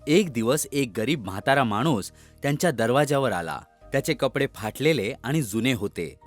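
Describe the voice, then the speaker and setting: Lively male children's story narrator. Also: medium pitch, moderate pace, faint music behind.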